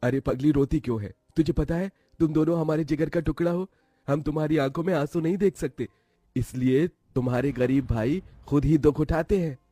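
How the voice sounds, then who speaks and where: A man's voice: a little high, moderate pace, warm tone, no echo, lightly acted. A man voicing a self-sacrificing, protective young brother from a poor family in a cartoon story, earnest and emotional. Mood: tender.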